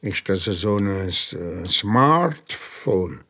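Animated older amateur detective. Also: male voice, lowish voice, drawn-out words, heavily acted. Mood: surprised. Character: older grandfatherly man Leo, thoughtful amateur detective, old-fashioned. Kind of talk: children's detective radio play.

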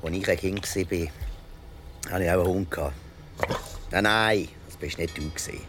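Radio play: musing older Swiss man, very low voice, wry, faint background ambience. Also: steady tone, unhurried, fairly bright tone, slight background noise. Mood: wistful.

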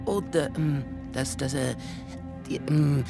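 A man's voice: a little high, slow pace, vocal fry, music in the background. A man playing a creepy, nervous circus clown in a radio drama, stammering and giggly. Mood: nervous, evasive.

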